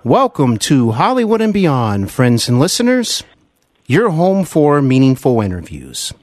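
An American man hosting a podcast, reading an introduction in an effusive, deliberately phrased way. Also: medium pitch, wildly swooping pitch, dry recording, clean studio sound. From United States. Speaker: podcast host.

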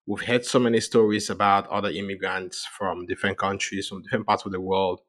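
A man's voice: low-pitched, little pitch movement, brisk, fairly bright tone. A man in his thirties hosting a podcast alone, talking casually in a rambling way with frequent restarts and "you know" fillers. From Cameroon. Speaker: podcast host. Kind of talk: casual solo podcast monologue.

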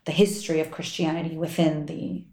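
Lowish-voiced woman interviewer, echoey. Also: level tone, a little fast, warm tone. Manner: curious, probing questions. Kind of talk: casual interview. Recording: echoey room.

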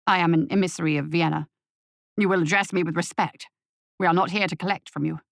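A pompous aristocratic gentleman from a dark storybook, cold and dismissive, delivering clipped, haughty lines, as a woman reader puts him on. His voice sits a little lower than her own and sounds dry and close. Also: fairly even intonation, quick pace, gravelly, dry recording.

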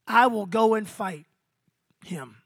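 Pastor, 50, hoarse and losing his voice, high-pitched, dry close sound, energetic preaching. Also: slow, no echo. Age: 50s. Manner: energetic, calls out to congregation. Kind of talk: sermon. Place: small venue with congregation.

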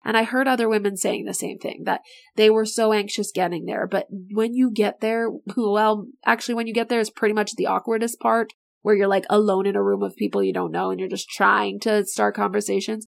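A middle-aged woman hosting her own podcast, chatting in an enthusiastic, motivational way, her voice a bit raspy and tired. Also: mid-range voice, fairly even intonation, fairly quick. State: voice getting raspy, not feeling great. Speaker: podcast host and business owner. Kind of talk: casual solo podcast talk.

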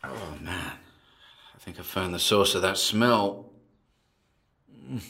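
An actor as a low, gravelly paranormal investigator. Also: male voice, slow, bright, forceful tone, full character voice. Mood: wary, descriptive. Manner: hesitant, muttering observations with sighs and trailing asides. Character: uneasy male paranormal investigator narrating his exploration on tape. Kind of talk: audio drama found-recording monologue.

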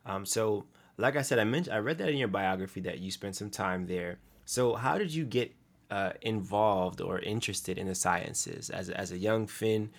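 Upbeat male podcast host, low voice. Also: moderate pace, creaky. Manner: upbeat, casual with fillers. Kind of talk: podcast interview hosting. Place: US East Coast.

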